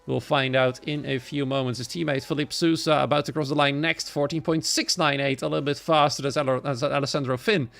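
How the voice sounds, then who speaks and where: Dutch-accented male racing commentator, creaky voice. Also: mid-range voice, fairly even intonation, a little fast, few pauses, a bit bright. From Netherlands. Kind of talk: live solo sim racing commentary.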